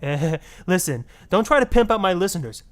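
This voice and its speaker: A man's voice: high-pitched, big pitch swings, normal speed, a bit bright, voice pushed up. A man playing a sarcastic, sceptical talk-show host on parody radio. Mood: snide. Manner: sceptical, snide.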